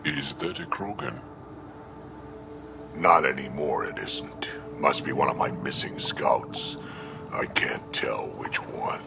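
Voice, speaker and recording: An actor voicing a gruff, weary old male alien warrior in a video game, gravelly, terse and dry. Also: a bass voice, takes it slowly, exaggerated voice. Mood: grim. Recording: loud music under the voice.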